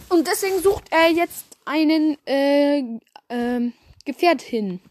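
Young woman, fairly high voice, stuffy nose, rambling fan podcast chat, lots of fillers and slang, close mic at home. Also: drawn-out words. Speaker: podcast host. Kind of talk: casual fan podcast monologue.

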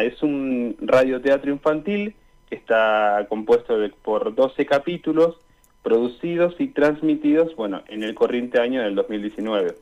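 A young man with an Argentine Spanish accent giving casual interview answers as a guest over a call. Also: mid-range voice. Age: young adult. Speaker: student guest, radio drama performer. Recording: dull, lo-fi call audio.

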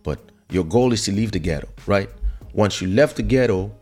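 A man's voice: fairly low pitch, animated, moderate pace, a little mellow, faint music behind. A man who grew up in France, speaking as he hosts a casual, opinionated monologue to viewers, emphatic and repetitive, with rhetorical questions. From born in Africa, grew up in France. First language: French. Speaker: online show host.

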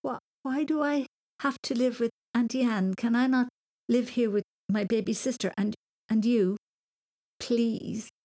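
A woman's voice: fairly high pitch, sing-song, normal speed, a little mellow, slightly rough, voice pushed up, exaggerated voice. A woman voicing an earnest, anxious young boy who gets easily upset, in a children's audiobook. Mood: pleading. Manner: exclaiming, plaintive, hesitant.

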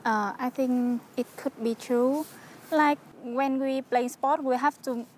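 A woman's voice: high voice, fairly even intonation, darkish tone, slight background noise. Hesitant young woman. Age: young adult. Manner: hesitant, halting, many uh fillers. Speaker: university student.

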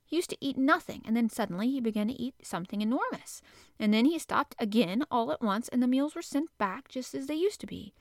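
A fussy, flustered housekeeper, put on by a woman reader, rattles on in a breathless, eager-to-please rush. Her voice sits higher and louder than plain narration, and the sound is dry and close. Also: expressive, a little fast, no room sound. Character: flustered middle-aged female housekeeper, anxious to please.

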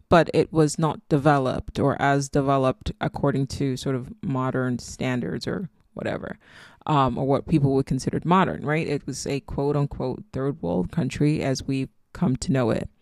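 A woman's voice: a bass voice, normal speed, a little mellow, no room sound. Reflective Nigerian-accented woman, dry close sound. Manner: reflective, meandering, spiritual musing. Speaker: podcast host. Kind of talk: casual solo podcast monologue.

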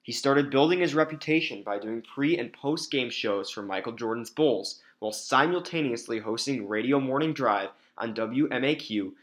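A man's voice: mid-range voice, moderate pace, hardly any pauses, a bit bright. A man with an American accent reading scripted narration for a sports podcast feature.

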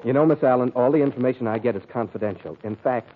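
A man playing a polite, officious, foolish census taker in a 1940s radio comedy, with a husky voice. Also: mid-range voice, fast, close to an everyday voice. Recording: thin phone-like old radio sound.

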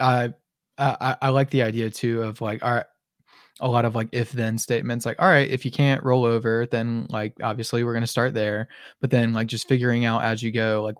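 A man's voice: medium pitch, no room sound, studio quality. A man in his twenties hosting a podcast, asking questions casually with frequent restarts and repeated words. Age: 20s. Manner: halting, repeats words, affirming. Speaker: podcast host. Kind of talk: casual interview chat.

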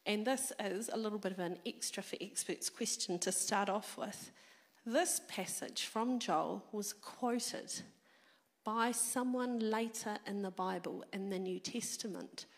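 A woman preaching a sermon, her voice a little croaky from a cold, drawing the congregation in with questions. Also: medium pitch, a little slow, in a large hall. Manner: animated, engaging the congregation.

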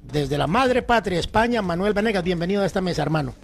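A man's voice: high voice, lively intonation, quick pace, gravelly, soft background music. A man hosting a studio interview, jovial and full of banter, asking questions in simple terms. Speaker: host. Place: studio table.

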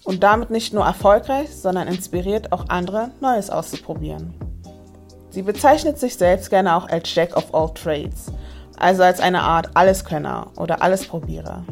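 A woman's voice: mid-range voice, vocal fry, a little mellow. A woman presenter reading a scripted introduction of a podcast guest. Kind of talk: scripted narration.

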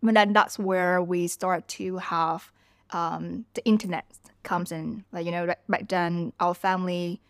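A woman's voice: on the low side, steady tone, a little slow, dry recording. A woman in her thirties from Vietnam, speaking English as a podcast guest, reflecting loosely on her memories. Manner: reflective, talks through memories with loose phrasing. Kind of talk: casual conversational storytelling.

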